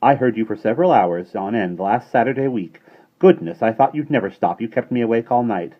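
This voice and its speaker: A man doing a shrill, loud starling. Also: lively intonation, brisk, lightly acted. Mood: pompous. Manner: loud, pompous and bossy. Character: shrill cheeky chattering male starling. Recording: dull lo-fi recording.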